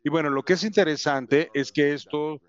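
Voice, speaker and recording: A man with an English accent and English as his first language, giving a conference presentation in a halting way, with many fillers and restarts. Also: a little high, fairly even intonation, dull audio. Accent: English speaker's Spanish. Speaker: company representative presenting to educators.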